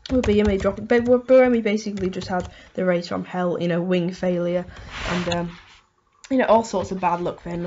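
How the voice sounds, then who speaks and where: A woman with a hoarse voice from a cold, hosting a casual motorsport race review and rambling through it with lots of "um" and "you know". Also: fairly low pitch, darkish tone, highs cut off, slight background noise. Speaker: motorsport video host.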